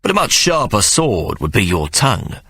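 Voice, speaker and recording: A man's voice: fairly low pitch, very animated, moderate pace, pushed and bright, slightly rough, heavily acted. A man voicing a sly old uncle who speaks in a coaxing, drawling way with folksy grammar.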